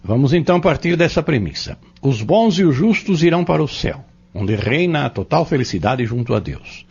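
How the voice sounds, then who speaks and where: A man playing a patient, earnest Spiritist teacher in an audio drama, explaining step by step in a measured, didactic way. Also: mid-range voice, big pitch swings, vocal fry, darkish tone, breathy edge, exaggerated voice. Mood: earnest, probing. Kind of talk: scripted audio drama dialogue. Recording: dull lo-fi audio.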